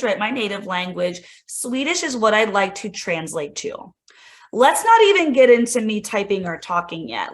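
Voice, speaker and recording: A woman's voice: a little high, a bit bright. Chatty woman walking teachers through an app. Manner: chatty, lots of "like" and "right?"; enthusiastic teacher tone. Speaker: instructional coach presenting a tech demo. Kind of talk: casual screen-share tutorial.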